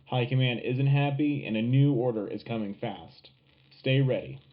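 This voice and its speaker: A man's voice: medium pitch, moderate pace, natural voice. Man playing a tinny-sounding wartime radio host. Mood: urgent, resolute. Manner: dramatic, emphatic announcer delivery. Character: upbeat patriotic wartime radio host of future Earth. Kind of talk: punchy scripted radio news broadcast. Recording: tinny narrow-band radio sound, faint music.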